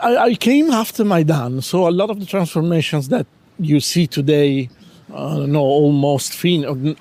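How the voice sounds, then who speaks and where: Hesitant man, slightly high. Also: darkish tone. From Italy. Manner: hesitant, many fillers and restarts. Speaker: magazine editor. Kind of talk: casual interview.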